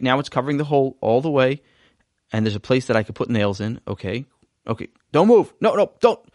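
A man's voice: fairly high pitch, no echo, studio quality, natural voice. Man voicing a weary, patient father. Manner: sighing, calm and patient.

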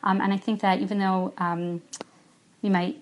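Earnest young woman, halting voice note. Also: mid-range voice, level tone, muffled recording. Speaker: listener sending a voice note. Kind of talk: personal voice note.

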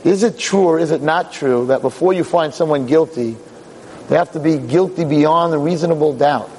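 A man's voice: fairly high pitch, normal speed, darkish tone, lightly acted. Man acting a cocky, needling defense lawyer, noisy recording. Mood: triumphant. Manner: needling, rhetorical questioning. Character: cocky, theatrical high-priced male defense lawyer. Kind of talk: acted courtroom storytelling. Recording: clear background noise.

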